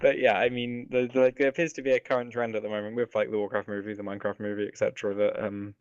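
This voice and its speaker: A man's voice: fairly low pitch, level tone, fast talker, clean tone, no echo. A man co-hosting a podcast, chatting casually and informally, sometimes tentative, with some swearing. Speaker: podcast co-host. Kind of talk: casual chat about films and games. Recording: dull, dry, lo-fi voice track.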